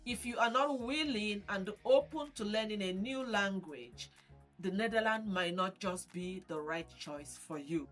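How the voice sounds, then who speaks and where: A woman vlogger explaining relocation advice in a measured, advising tone. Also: medium pitch, a bit bright. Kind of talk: scripted explainer to camera.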